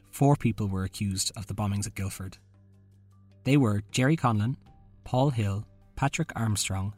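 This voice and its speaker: Low-voiced man narrating over music. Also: darkish tone, husky. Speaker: podcast host. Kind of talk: scripted true-crime narration.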